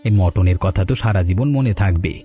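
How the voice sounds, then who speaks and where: A man's voice: low-pitched, a little fast, narrow, tinny audio, soft background music, close to an everyday voice. A man playing a friendly, humble butcher in a cartoon story, amiable and effusive with his praise. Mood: delighted.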